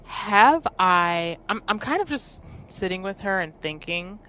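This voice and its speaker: A woman's voice: medium pitch, expressive, unhurried, bright, forceful tone, a little hiss or hum, low-quality recording. A woman playing a tabletop roleplaying game, chatting casually and hesitantly as she thinks aloud about her character. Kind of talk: casual improvised roleplay chat.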